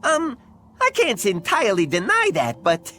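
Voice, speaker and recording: A woman's voice: fairly high pitch, huge pitch swings, moderate pace, sharp tone, slight background noise, heavily acted. A woman voicing a chipper, polite little male merchant creature with folksy sales patter, in a video game. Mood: sheepish. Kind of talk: video game cutscene acting.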